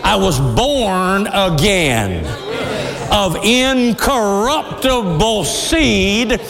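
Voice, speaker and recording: A middle-aged pastor preaching a sermon with passion, often shouting and laughing as he speaks. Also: sits high, animated, drawn-out words, creaky, a crowd in the background. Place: church sanctuary. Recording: long hall reverb.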